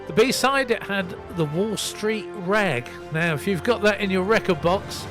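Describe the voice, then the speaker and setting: A man's voice: high-pitched, expressive, measured pace. A man hosting a casual soul music radio show, chatty and self-mocking as he reads out record details. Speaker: radio host and DJ.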